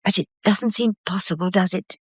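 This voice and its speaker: A woman's voice: mid-range voice, normal speed, husky, no room sound, close to an everyday voice. A woman playing a proper gallery worker in a vintage-set radio mystery. She confides and fusses sympathetically in a mid-range voice, recorded dry and a touch dull. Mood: worried, confiding. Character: fussing, confiding, genteel English gallery employee, sympathetic. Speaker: actor. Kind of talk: 1940s period mystery drama acting.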